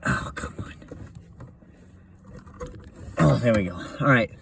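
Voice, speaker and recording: A man's voice: medium pitch, lively intonation, slow pace, creaky, noisy background. Rambling man grumbling over his gear. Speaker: channel host showing truck repairs. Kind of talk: casual how-to tutorial. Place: inside a truck at night.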